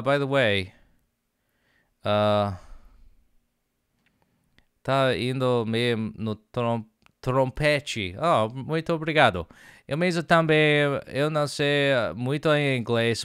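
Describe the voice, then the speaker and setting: A young man talking slowly and unhurriedly on his stream, his voice smooth and clean. He jokes and boasts playfully, stumbling through beginner Portuguese between chat replies, close on a studio mic in a dry little room. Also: mid-range voice, a bit bright, no room sound. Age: young adult. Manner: jokey, playfully boastful, mixes in halting learner Portuguese. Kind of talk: casual live-stream chat, reading chat aloud. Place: small home room. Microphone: studio mic on arm with headphones.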